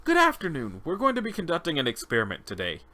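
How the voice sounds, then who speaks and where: A man voicing a calm, clinical doctor who speaks formally and politely while running an experiment in a dramatized interview log. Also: fairly high pitch, fast talker, voice pushed up, full character voice. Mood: calm, procedural.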